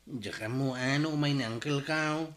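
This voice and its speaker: A man's voice: mid-range voice, normal speed, a bit bright, natural voice. A man playing a reluctant young nephew with an Ilocano accent in a radio comedy drama. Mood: plain. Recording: roomy, echoing studio sound.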